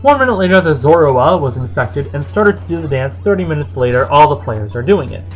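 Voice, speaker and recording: Female story reader, low register, very lively inflection, theatrical, faint music behind. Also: fairly quick. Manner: expressive, theatrical delivery. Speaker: fan fiction reader. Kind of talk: animated story narration.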